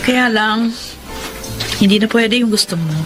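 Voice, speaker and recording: A woman playing a gentle, steadfast single professional in a Filipino radio drama, speaking earnestly and in measured phrases. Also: fairly high pitch, music in the background, close to an everyday voice. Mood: gentle, firm.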